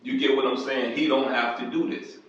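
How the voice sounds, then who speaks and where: A man's voice: mid-range voice, fairly even intonation, fairly quick, a bit bright. A man reading a novel aloud and breaking off into loose conversational commentary, often checking in with the listener. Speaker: reader and commentator. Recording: distant dull echoey room recording.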